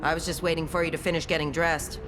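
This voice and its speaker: A woman's voice: deep voice, level tone, quick pace, lightly acted. An actress playing a mocking, villainous mercenary woman captain in a video game, sneering and taunting. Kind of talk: dramatic video game acting. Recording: music behind the voice.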